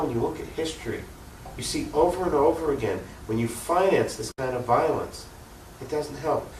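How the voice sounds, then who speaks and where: An American man speaking earnestly and emphatically as an activist guest in an unscripted political interview. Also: a little high. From United States. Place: echoey room, cable TV interview. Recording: echoey room, distant mic, faint noise.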